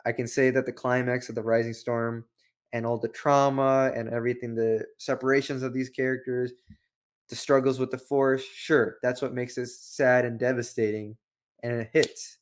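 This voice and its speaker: A man's voice: medium pitch, level tone, moderate pace. A man co-hosting a review show, talking casually and giving opinions in a rambling way full of rhetorical questions. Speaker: co-host of a book review show.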